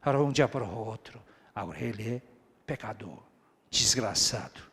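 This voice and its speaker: A man preaching a sermon as pastor, dropping to hushed asides and then rising to loud, high, emphatic repeated phrases. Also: lively intonation, normal speed, slightly rough. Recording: echoey hall with long reverb.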